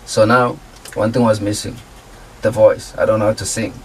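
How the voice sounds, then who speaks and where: Producer guy chatting on radio, low voice, chill, bit far from the mic. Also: moderate pace, a little hiss or hum. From South Africa. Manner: relaxed, laid-back slang, laughs easily. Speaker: guest music producer and artist. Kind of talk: casual interview talk.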